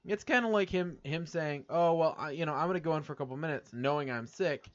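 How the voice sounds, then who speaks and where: A man's voice: on the high side, fast. A man from the United States co-hosting a casual chat show, rambling with many fillers and repeated words. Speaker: podcast co-host. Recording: dull lo-fi sound.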